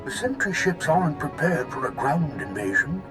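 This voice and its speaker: High, swooping old Jedi master. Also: male voice, sing-song, music in the background. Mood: brisk, commanding. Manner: dramatic pauses, drawn-out mentor phrasing. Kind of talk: video game voice acting.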